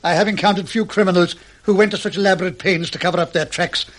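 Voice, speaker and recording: A man's voice: high voice, steady tone, fast talker, a bit bright. A man playing a pompous, self-assured doctor detective in an old-fashioned radio mystery, speaking in precise, formal, pedantic phrases. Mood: smug.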